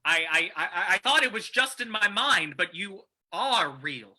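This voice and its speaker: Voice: medium pitch, animated, measured pace, sharp tone, full character voice. A performer playing a frightened male crook who stammers and pleads in old-time radio style. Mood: terrified. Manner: stammering, panicky.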